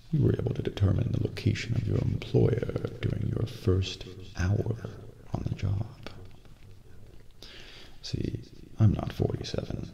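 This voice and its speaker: Casting: male actor as cold, polite hitman for ASMR role play, heavy vocal fry, dark hushed delivery. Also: on the low side. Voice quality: breathy, gravelly, near-whisper. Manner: deliberate, polite, menacing. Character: cold, calculating male assassin agent gloating over his victim. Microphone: close binaural microphone. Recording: close binaural mic, faint noise.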